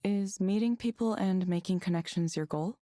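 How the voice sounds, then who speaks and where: Woman doing chill ai therapist from a visual novel, soft dark tone, neutral and polite, super dry audio. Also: mid-range voice, no room sound, natural voice. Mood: calm, neutral. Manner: measured, polite, detached. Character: calm, neutral AI counseling program with female voice. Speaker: counselor. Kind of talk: scripted visual novel dialogue.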